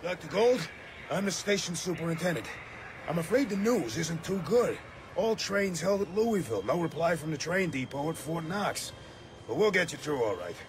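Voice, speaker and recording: An actor playing an earnest, helpful railway superintendent in a radio play, with a brisk, folksy manner. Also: male voice, fairly high pitch, very animated. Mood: concerned, helpful. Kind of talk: radio drama acting. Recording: noisy radio drama station ambience.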